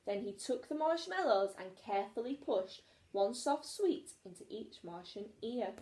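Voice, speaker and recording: A woman reads a children's story like a teacher, expressively. Her voice is fairly high and very animated. The room around her is noticeably echoey. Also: a little slow.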